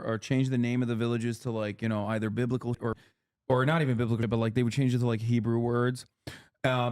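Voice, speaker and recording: A man in his thirties streaming live, explaining history in a rapid, lecturing way with many fillers and restarts. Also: medium pitch, a little mellow, clean tone. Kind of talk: casual livestream explanation.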